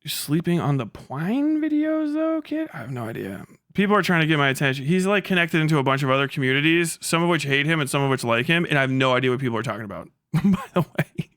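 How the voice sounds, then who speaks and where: Guy streaming, kinda high sharp voice, rambly n stammery, swears a lot, amused, real dry mic. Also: very animated, fast, no echo. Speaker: live streamer, host of the channel. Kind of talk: casual livestream commentary with reading aloud.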